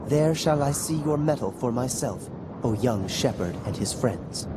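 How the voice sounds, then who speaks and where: An actor playing an aloof, ancient overseer of a trial in a video game, speaking in a stately, archaic, measured way. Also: male voice, mid-range voice, lively intonation, heavy background noise, lightly acted. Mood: aloof, testing. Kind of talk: dramatic video game voice acting. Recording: music behind the voice.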